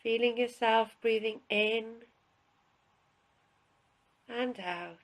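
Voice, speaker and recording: A woman instructor guiding a relaxation session in a calm, unhurried, instructional way with pauses. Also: a little high. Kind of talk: guided relaxation, spoken instruction.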